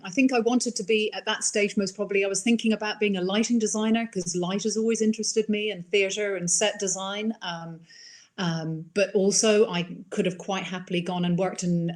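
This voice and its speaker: A woman's voice: mid-range voice, fairly even intonation, a little fast. A middle-aged woman with an Irish accent, chatting enthusiastically as an interview guest, with plenty of fillers. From England. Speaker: wildlife filmmaker guest. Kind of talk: casual interview answers.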